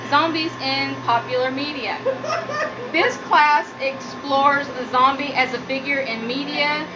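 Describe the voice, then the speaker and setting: A woman giving a humorous prepared speech as a student, with a nervous, giggly delivery. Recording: muffled low-quality audio, noticeable background noise.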